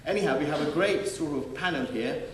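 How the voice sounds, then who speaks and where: Guy chairing a panel, lots of ums and restarts, far off in a big echoey hall. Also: a little high. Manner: halting, many fillers and restarts. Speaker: panel moderator. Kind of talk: hesitant live introduction. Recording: distant mic, echoey hall, faint noise.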